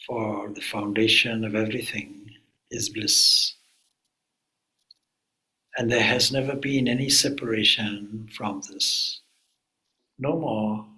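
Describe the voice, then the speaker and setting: A man, a swami, leading a guided meditation in a calm, unhurried way with long pauses. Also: medium pitch, low-quality recording.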